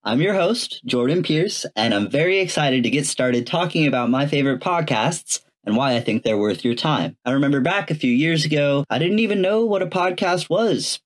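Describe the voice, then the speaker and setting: A man's voice: a little high, lively intonation, normal speed, hardly any pauses. Earnest, enthusiastic male podcast host. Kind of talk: solo podcast monologue, conversational.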